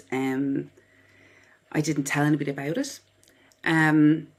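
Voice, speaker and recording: A woman from Dublin with an Irish accent, giving a personal account as an interview guest. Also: low-pitched. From Dublin, Ireland. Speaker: podcast guest, writer and psychotherapist.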